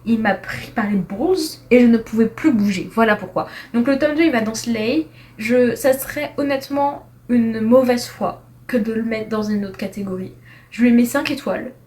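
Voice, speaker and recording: A young woman with a slightly high voice talks through her reading in a loose, chatty way. She restarts sentences often and mixes slang into her rankings, sounding like an excited book vlogger speaking straight to her viewers. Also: normal speed. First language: French. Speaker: book reviewer. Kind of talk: casual tier-list book review.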